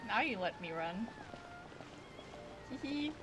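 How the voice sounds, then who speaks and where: Slow-paced, playful woman streaming a game. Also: mid-range voice, lively intonation, poor audio. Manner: playful, giggly. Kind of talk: casual game playthrough commentary. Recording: game music behind the voice. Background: game music.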